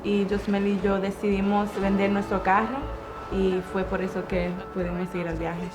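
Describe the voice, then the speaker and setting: A woman's voice: mid-range voice, monotone, normal speed. Young woman giving testimony over music. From United States, Dominican-born. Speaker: church missionary volunteer. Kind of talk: personal testimony.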